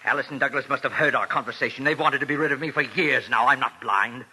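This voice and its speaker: A man's voice: mid-range voice, quick pace, pushed and bright, slightly rough. A man playing an obsessed, desperate ventriloquist, theatrical and bitter, in vintage radio drama style. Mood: bitter, defensive. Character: obsessed, desperate male ventriloquist and puppet carver, tormented. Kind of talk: 1940s-50s radio drama acting. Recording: old radio broadcast.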